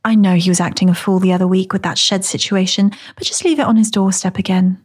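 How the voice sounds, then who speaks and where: A woman's voice: mid-range voice, fairly even intonation, fast talker, breathy edge, close to an everyday voice. A woman voicing a cautious, exasperated female neighbour, urgent and placating, in an audiobook story. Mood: concerned, puzzled.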